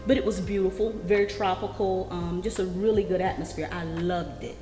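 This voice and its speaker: A woman, telling her life story in a warm, chatty way. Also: fairly low pitch, fairly even intonation, a little fast, music in the background. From South Carolina, US. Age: 50s. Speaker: interviewee. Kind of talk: casual conversation. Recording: echoey room with long reverb.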